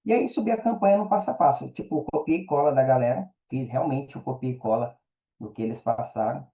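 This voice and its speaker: Very dark-voiced Brazilian man, casual storyteller. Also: mid-range voice, fairly quick, poor audio. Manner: slangy, colloquial, full of tag questions. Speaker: podcast guest.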